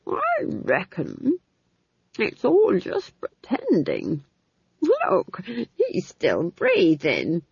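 A woman voicing a shrewd, critical old nanny witch for a storybook, creaky and drawing out words as if considering, in a dull recording. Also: on the low side, huge pitch swings, no echo, pitched above the performer's own voice, full character voice. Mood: pondering. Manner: slow, drawn-out, considering.

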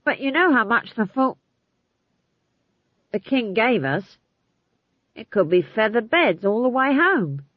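A thin, phone-like recording of an agreeable, evasive playwright, voiced by a narrator. He sounds wistful and innocent, with a sing-song, highly varied melody and no room sound. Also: sing-song, slow, long pauses, no room sound.

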